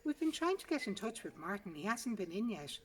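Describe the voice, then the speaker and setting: A woman's voice: mid-range voice, big pitch swings, fairly quick, breathy edge, pitched above the performer's own voice. A woman voicing a young, clever office receptionist in a story, formal, careful and polite, with a Southern English accent. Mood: careful, concerned. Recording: outdoor garden recording, distant mic, birdsong.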